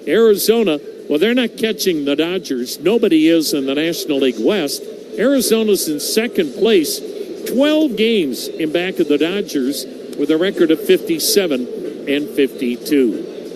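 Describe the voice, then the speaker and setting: A man with an American accent calling baseball play-by-play on radio, in folksy, measured phrases. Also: high-pitched. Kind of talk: live radio sports commentary. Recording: noisy ballpark ambience behind.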